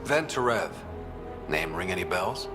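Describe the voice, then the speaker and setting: Wry, lively space hero. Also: male voice, low-pitched, unhurried. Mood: firm. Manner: wry, matter-of-fact. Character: dry, confident young male space explorer hero. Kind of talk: video game voice acting. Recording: music and effects behind.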